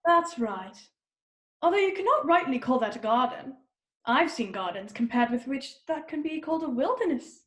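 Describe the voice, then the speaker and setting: A woman's voice: high-pitched, lively intonation, moderate pace, a bit bright. Woman acting a bossy, haughty queen, roomy sound. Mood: haughty, scolding. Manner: imperious, scolding, commanding. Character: bossy, haughty queen ordering a young girl about. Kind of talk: children's readers' theater read-aloud.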